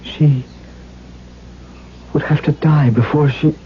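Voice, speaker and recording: A man's voice: on the high side, fairly even intonation, slow pace, slight background noise. An actor playing a tormented, obsessed nobleman, telling his tale theatrically in a 1970s radio drama. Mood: anguished, hesitant. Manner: theatrical, brooding, impassioned. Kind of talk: 1970s radio drama acting, first-person narration. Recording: dull vintage AM radio recording, music behind.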